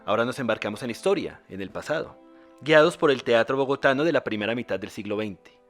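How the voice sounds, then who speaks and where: A man with a Colombian accent hosts a podcast in scripted narration. His pitch is medium, and light music plays underneath. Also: lively intonation, quick pace. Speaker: podcast host.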